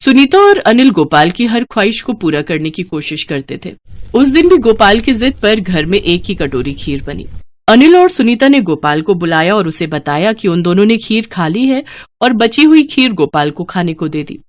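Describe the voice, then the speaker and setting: A woman's voice: mid-range voice, very animated, normal speed. A woman narrating a scripted children's moral story in a storyteller's style.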